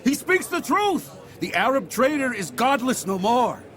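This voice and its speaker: An actor playing a gleeful, mocking young man gossiping in an audio drama, with an animated, jeering delivery. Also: very high-pitched, a little slow, heavily acted. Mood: mock pious. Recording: crowd chatter behind the voice.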